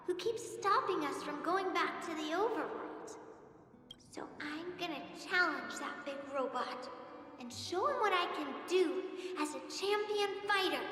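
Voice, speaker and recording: A woman's voice: very high voice, bright, forceful tone, exaggerated voice. A woman voicing a hopeful, sickly young girl in a video game, earnestly and wistfully reading out her dreams of becoming a fighter. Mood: earnest, determined. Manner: earnest, wistful, childlike storytelling. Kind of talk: video game voice acting, reading a letter aloud. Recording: long echoing reverb, music underneath. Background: music.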